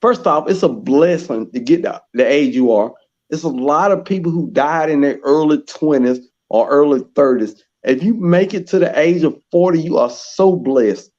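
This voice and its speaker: A man's voice: on the high side, normal speed, a little mellow, dull audio. A man in his forties from Georgia with a Southern US accent, chatting casually and boisterously, often repeating phrases for emphasis. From Georgia, United States. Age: 40s. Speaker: participant in a group live chat. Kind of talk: casual group chat.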